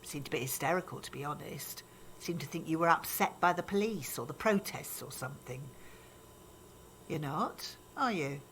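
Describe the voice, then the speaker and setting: A woman's voice: low voice, normal speed, fairly bright tone, a little hiss or hum. An older actress playing a fussy, old-fashioned, disapproving grandmother in a naturalistic audio drama. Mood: indignant, gossipy. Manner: chiding, matter-of-fact, gossipy. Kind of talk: naturalistic radio drama acting.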